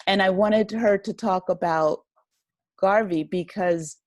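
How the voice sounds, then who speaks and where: A woman's voice: mid-range voice, takes it slowly, a little mellow, no echo, dull audio. A woman speaking as an interview guest, reflective and appreciative, in a casual conversational style. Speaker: interview guest, writer and director.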